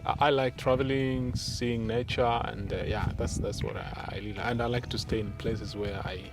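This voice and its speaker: A man with a Tanzanian accent answering interview questions in a measured way, feeling for his words. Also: fairly low pitch, steady tone, creaky. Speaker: entrepreneur being interviewed. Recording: music behind the voice.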